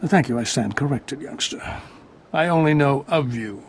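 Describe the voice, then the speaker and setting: An actor playing a stern, suspicious Jedi master in a video game, formal, pompous and moralizing. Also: male voice, medium pitch, animated, moderate pace, a little hiss or hum, lightly acted. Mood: exasperated.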